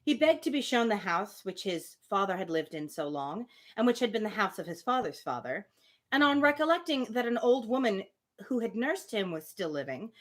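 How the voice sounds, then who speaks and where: Female livestream book reader, mid-pitched, expressive, with a very animated range. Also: moderate pace. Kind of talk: live read-aloud of a classic novel with casual asides.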